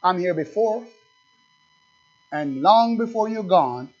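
A high-pitched man preaches from the pulpit, dropping to low asides and then surging loud. He slows to lean hard on scripture and keeps firing questions at his listeners. The recording is dull and lo-fi. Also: expressive. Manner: reads scripture slowly and with emphasis; talks straight to the congregation with questions. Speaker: pastor. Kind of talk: fiery sermon.

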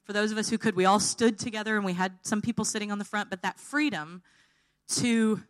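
A woman's voice: mid-range voice, fairly quick. Woman, informal sermon, tired held-back voice, chatty, checks in with listeners. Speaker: preacher.

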